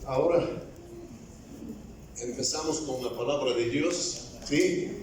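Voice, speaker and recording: A man preaches a sermon in a church, his words slow, deliberate and a little halting, as if still finding his way in the language. His voice comes from some distance away and rings through a very echoey room, with faint ambient noise underneath. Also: mid-range voice. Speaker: evangelist preacher.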